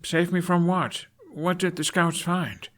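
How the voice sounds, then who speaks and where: A man's voice: sits high, little pitch movement, unhurried, a bit bright, pitched above the performer's own voice. A man voicing an anxious, earnest young son who pleads and stammers, in an audiobook-style story reading. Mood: frustrated.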